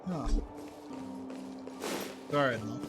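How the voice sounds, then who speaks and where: A man's voice: medium pitch, extremely slow, over music. A man giving casual, hesitant commentary as he hosts a gameplay video.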